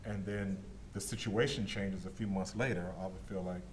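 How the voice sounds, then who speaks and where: Low-voiced man raising concerns. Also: steady tone, moderate pace, a little hiss or hum. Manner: thoughtful, raises concerns. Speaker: commissioner. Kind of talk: asking questions at a public meeting.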